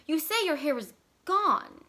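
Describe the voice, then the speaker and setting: A woman's voice: high-pitched, expressive, a little slow, bright, forceful tone, pitched above the performer's own voice, exaggerated voice. A woman voicing a slightly dazed, affectionate young husband in a short story reading, plain and reassuring.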